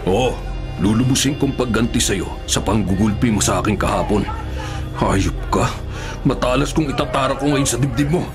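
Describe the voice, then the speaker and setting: A man's voice: medium pitch, moderate pace, lightly acted. A man with a Filipino accent playing a vicious, vengeful convict with theatrical delivery in a radio drama. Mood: menacing, furious. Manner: snarling threats, theatrical. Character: vicious male convict out to stab his rival. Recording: music behind the voice.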